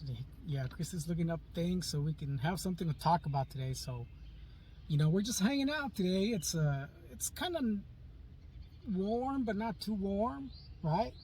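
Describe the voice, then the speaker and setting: A man's voice: high-pitched, expressive, normal speed, a little mellow. A man co-hosting a casual podcast, rambling and repeating himself, with corny dad jokes, sitting outdoors in an open-top Jeep. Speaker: podcast co-host. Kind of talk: casual chat. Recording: faint noise, distant from mic.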